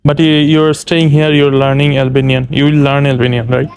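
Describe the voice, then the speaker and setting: A man's voice: mid-range voice, flat delivery, brisk, a little mellow, slight background noise, rough recording. A young man with a Bangladeshi accent chatting casually as a travel vlogger, often repeating short phrases. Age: young adult. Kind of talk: casual chat with locals.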